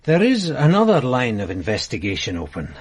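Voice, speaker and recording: An actor playing an earnest, easygoing police sergeant in a radio drama. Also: male voice, mid-range voice, wildly swooping pitch, brisk, slightly rough.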